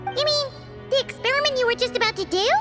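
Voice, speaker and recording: Squeaky excitable fairy companion. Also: female voice, fast. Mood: alarmed curious. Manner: wide-eyed, excitable questions. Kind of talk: video game cutscene voice acting. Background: game score music.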